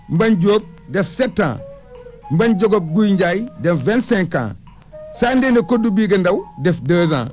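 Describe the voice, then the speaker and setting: A man's voice: high-pitched, darkish tone. A man recounting history and lists of names in an emphatic, reciting way, as an oral historian in conversation. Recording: muffled lo-fi audio with music behind. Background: music.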